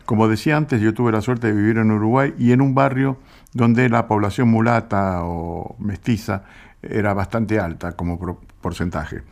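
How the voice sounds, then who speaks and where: A man, speaking Spanish as a radio music commentator, explaining in an unhurried way with small hesitations. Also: fairly low pitch, creaky, darkish tone. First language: Italian.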